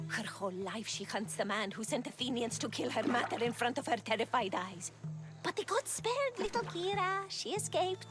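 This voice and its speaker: An actress playing an older woman servant who speaks cryptically, telling old secrets, over loud game music. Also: a little high, sing-song, normal speed. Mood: grave. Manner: cryptic, storytelling.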